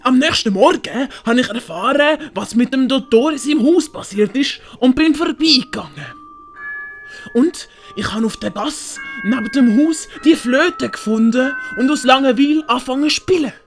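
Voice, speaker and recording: A woman's voice: high-pitched, lively intonation, moderate pace, soft background music. A woman with a Swiss German accent voicing an eager, apologetic, bumbling male servant in an audio story. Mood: sheepish. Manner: hesitant, servile. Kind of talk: hobby audiobook character acting.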